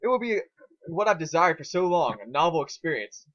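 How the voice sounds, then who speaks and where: A man's voice: a little high, big pitch swings, brisk, fairly bright tone, dull audio, voice pushed up. A man voicing an irritable, foul-mouthed female pony scholar who rants with obsessive glee in an amateur dramatic reading. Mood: smug, scheming. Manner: ranting, gleefully obsessive.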